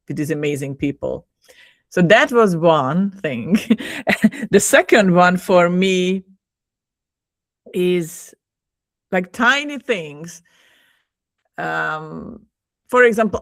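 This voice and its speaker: A woman's voice: fairly low pitch, dry recording, clean studio sound. A woman with a Hungarian accent, a native Hungarian speaker, chatting enthusiastically as a podcast guest over a video call, laughing often and repeating words. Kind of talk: casual conversation.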